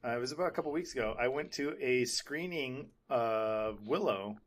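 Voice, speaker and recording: A man's voice: mid-range voice, normal speed, slight background noise. A man hosting a podcast, chatting casually about film and TV in a rambling, hesitant way. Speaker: podcast host.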